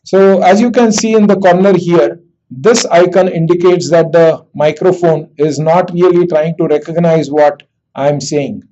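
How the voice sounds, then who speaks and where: A man with an Indian accent demonstrating dictation software, speaking slowly and deliberately as if to a computer. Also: fairly high pitch, muffled recording. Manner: slow, deliberate dictation with spoken punctuation. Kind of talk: screen-recorded software tutorial with dictation.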